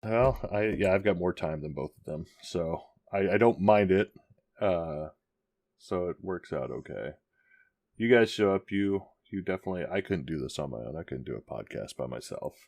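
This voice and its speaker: A man's voice: low voice, animated, moderate pace, creaky, darkish tone, no room sound. A man co-hosting a casual podcast, rambling along with lots of ums and restarts. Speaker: podcast co-host. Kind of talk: casual chat.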